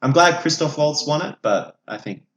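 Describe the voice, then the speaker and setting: A man's voice: a little high, lively intonation, normal speed, a bit bright. A man, an opinionated podcast host who swears freely while casually discussing films. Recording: echoey room, audible background noise.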